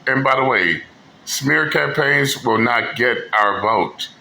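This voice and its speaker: A man's voice: medium pitch, animated, takes it slowly, gravelly, pushed and bright. A man hosting a commentary channel, talking bluntly and mockingly with profanity as he reacts to clips. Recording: echoey room with faint noise.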